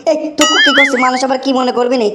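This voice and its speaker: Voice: high voice, level tone, racing, close to an everyday voice. A performer voicing a whiny, greedy young village man in a comic cartoon, exclaiming and repeating in a dialect. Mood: grumbling, scheming. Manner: exclamatory, whining, repetitive. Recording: roomy echo, faint background noise.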